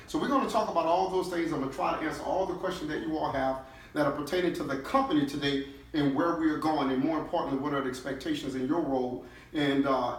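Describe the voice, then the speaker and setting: A middle-aged man, a chief executive, giving an emphatic motivational talk to his staff, often checking in with short questions. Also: a little high. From New Orleans, United States. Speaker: CEO leading a team-building session. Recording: echoey room, distant mic, faint noise.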